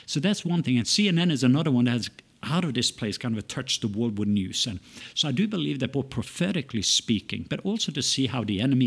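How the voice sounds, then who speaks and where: A man's voice: mid-range voice, husky. A middle-aged man from Norway, a ministry leader chatting in an interview, enthusiastic, laughing often and restarting sentences. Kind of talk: casual interview chat.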